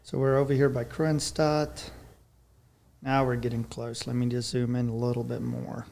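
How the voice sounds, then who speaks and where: A man's voice: medium pitch, little pitch movement, moderate pace, creaky, darkish tone, slight background noise. A man in his thirties from South Africa, with a South African accent and Afrikaans as his first language. He is a podcast guest telling his life story in an easygoing, rambling way. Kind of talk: casual interview storytelling.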